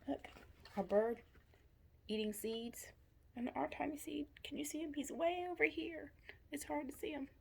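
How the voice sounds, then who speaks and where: A woman's voice: high-pitched, steady tone, normal speed, vocal fry, long pauses, slight background noise. A woman commenting on picture book scenes for young children, pointing things out in simple short phrases. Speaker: story reader commenting on pictures.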